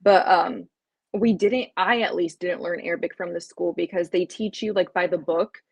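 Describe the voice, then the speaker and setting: A woman in her thirties shares her upbringing as a podcast guest. Her voice sits low and breathy, and her talk is relaxed and full of fillers. The sound is dry and close, with no room around it. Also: moderate pace, no echo. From United States and Palestine. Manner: chatty, many fillers and likes. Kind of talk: casual storytelling chat.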